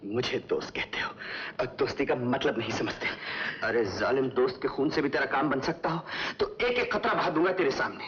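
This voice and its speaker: An actor playing a passionate, loyal best friend in an old Hindi film melodrama, insistent and theatrical. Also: male voice, medium pitch, expressive, fairly quick, fairly bright tone, lightly acted. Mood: wounded, impassioned. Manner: impassioned, demanding, theatrical. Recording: dull, lo-fi old film soundtrack, hissy.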